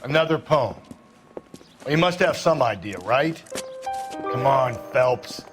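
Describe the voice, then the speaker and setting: A man playing a cynical, grumbling middle-aged 1940s American detective in a video game, talking in gruff slang. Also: mid-range voice, expressive, slow pace, music in the background. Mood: exasperated, worried. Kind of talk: cinematic video game acting.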